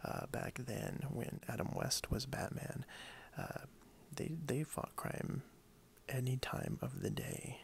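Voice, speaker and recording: A man speaking softly in a breathy half-whisper, hushed and friendly, role-playing a toy shop owner for ASMR. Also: medium pitch, huge pitch swings, slight background noise. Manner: hushed, unhurried, friendly, hesitant phrasing. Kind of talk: soft-spoken ASMR role play. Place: indoors at a wooden desk.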